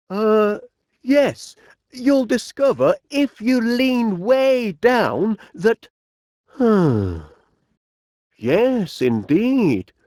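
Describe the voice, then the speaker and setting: A man's voice: very high voice, a little mellow, no room sound, clean studio sound, exaggerated voice. A man voicing a cheerful, fussy middle-aged inventor in a video game, with a Northern English accent and quaint, genial phrasing. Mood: coaxing. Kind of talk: video game character voice lines.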